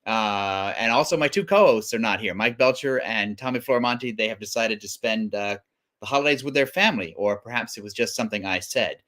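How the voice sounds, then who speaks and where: A man's voice: on the low side, a little fast, a bit bright. A man guest-hosting a live comics stream in a casual style, with wry, self-deprecating asides.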